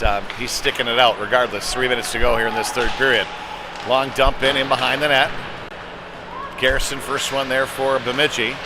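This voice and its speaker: A man calling a youth-league style hockey game live, as a play-by-play commentator following the puck. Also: mid-range voice. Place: indoor ice rink during a game. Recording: noisy arena, clatter and hum behind.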